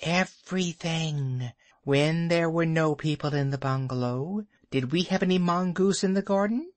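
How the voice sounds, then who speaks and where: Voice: mid-range voice, unhurried, no room sound, voice pushed up, natural voice. A storyteller voicing a cold, commanding female cobra who plots in an urgent, persuasive tone. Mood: insistent.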